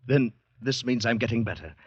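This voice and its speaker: A man's voice: medium pitch, lively intonation, breathy edge, no echo. A man acting in a 1940s radio drama as an anxious young shell-shocked soldier, speaking hesitantly. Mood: earnest, hopeful. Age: young adult. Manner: hesitant, halting. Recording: dull, dry vintage 1940s radio recording.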